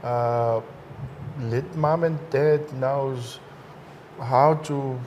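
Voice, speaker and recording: A man hosting an interview, friendly and halting, restarting his questions as he goes. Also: medium pitch, steady tone, darkish tone, slight background noise. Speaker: host. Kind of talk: casual interview talk.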